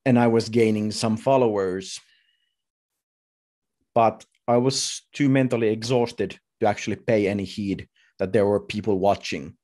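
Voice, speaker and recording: A man in his thirties giving a personal talk as a speaker, telling his story in short, halting, deliberate phrases. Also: on the low side, fairly even intonation, darkish tone. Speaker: conference speaker. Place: indoors at home, video call.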